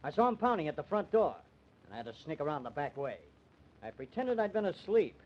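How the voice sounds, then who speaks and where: An actor with an American accent playing a cool, cunning small-town lawyer with a killer's streak in an old-time radio drama. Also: male voice, a little high, big pitch swings, a little fast. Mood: desperate, gloating. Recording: dull old radio recording.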